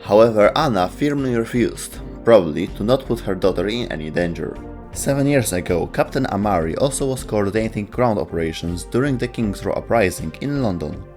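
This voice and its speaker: A man with a fairly low voice delivers scripted narration for a gaming video. He speaks as the host and narrator, with faint music running underneath. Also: animated, a little fast, a little mellow. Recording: music behind the voice. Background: background music.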